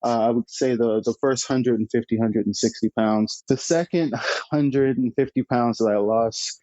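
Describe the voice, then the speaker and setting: A man's voice: medium pitch, steady tone, moderate pace, mellow, no room sound. A young man from the Bahamas, a guest telling his story in a casual interview, chatty and laughing often between "uh" fillers. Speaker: guest, founder. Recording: slightly dull lo-fi remote audio.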